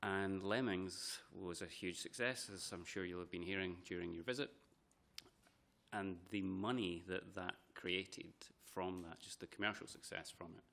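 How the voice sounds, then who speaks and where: Deep-voiced man giving testimony. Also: moderate pace, gravelly, pushed and bright. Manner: hesitant, repeats words while thinking. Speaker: committee witness. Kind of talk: formal testimony. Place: committee hearing room.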